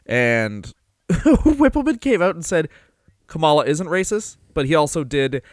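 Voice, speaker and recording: A man's voice: a little high, very animated, unhurried, no echo, studio quality. A man co-hosting a casual podcast, rambling loosely with lots of fillers and offhand swearing. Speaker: podcast co-host. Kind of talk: casual wrestling podcast chat.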